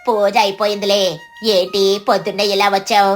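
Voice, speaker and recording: A woman performs a kindly older lady of the village in a moral tale. The tone is lowered, measured and gracious, with a cautious edge, over faint music. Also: little pitch movement, lightly acted.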